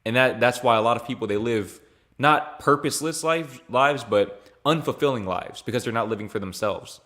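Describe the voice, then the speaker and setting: A young man answering his viewers' questions in a loose, easygoing way. He speaks at an ordinary, mid-range pitch. His slang and repeated phrases keep it sounding like a chat with a friend. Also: moderate pace, fairly bright tone. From Louisiana, raised in Texas. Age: young adult. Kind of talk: casual Q&A vlog.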